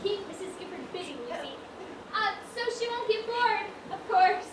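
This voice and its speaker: Woman acting a flustered shop owner, animated pitch, bossy, stage comedy, distant noisy recording. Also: moderate pace. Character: flustered, bossy widowed dress shop keeper. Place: school theatre stage, distant microphone. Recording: echoey stage from far off, noisy.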